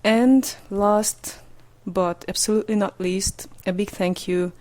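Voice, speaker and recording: A man's voice: high-pitched, fairly even intonation, slow pace, a little mellow. Hesitant Hungarian-accented man hosting. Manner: hesitant, many fillers. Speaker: podcast host and interviewer. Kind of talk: casual interview. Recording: reverberant room with faint noise.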